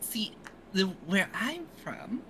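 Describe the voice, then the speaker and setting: A woman's voice: medium pitch, sing-song, slow pace, sharp tone, a little hiss or hum, poor audio. A woman hosting a casual podcast chat who drops into whispered asides. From a metropolitan area. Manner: whispers asides, laughs often. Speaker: podcast host.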